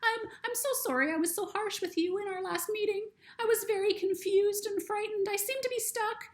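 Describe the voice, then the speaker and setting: A woman voicing a frightened butterfly stuck in its cocoon, speaking in a squeaky, stammering, pleading way. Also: a little fast.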